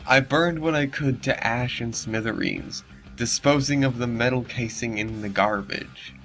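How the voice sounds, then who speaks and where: A man's voice: mid-range voice, a little slow, fairly bright tone. A man reading a story in a mock-dramatic way, breaking into casual, sarcastic and exasperated commentary. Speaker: narrator reading and mocking a horror story. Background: background music under the voice.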